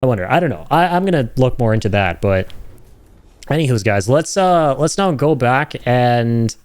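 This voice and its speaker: A man's voice: mid-range voice, lively intonation, normal speed, darkish tone, dry recording. A man giving casual, enthusiastic reaction commentary over game footage in an informal, slangy, chatty way. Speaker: host of a gaming reaction channel.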